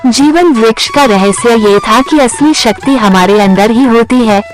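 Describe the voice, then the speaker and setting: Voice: medium pitch, measured pace. Storyteller narrating over background music. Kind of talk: scripted moral story narration.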